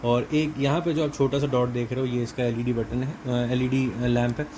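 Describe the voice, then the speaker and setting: Indian man, even-toned, noisy recording. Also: mid-range voice, normal speed. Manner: explanatory, mixes in English technical terms. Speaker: product reviewer. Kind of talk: casual unboxing and product walkthrough.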